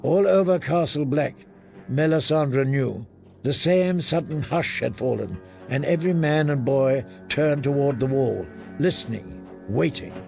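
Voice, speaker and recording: A male audiobook narrator with a gravelly voice who reads at an unhurried pace. His delivery is grave and deliberate, with faint music underneath. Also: mid-range voice, animated, darkish tone. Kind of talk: scripted fantasy narration.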